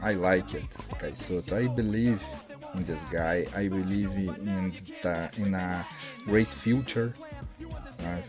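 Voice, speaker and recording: A man in his forties from Brazil with a Brazilian Portuguese accent, hosting a casual vlog in halting non-native English full of fillers, recorded on a headset in a small home studio. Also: low-pitched, music in the background. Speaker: channel host. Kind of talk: casual vlog address to viewers.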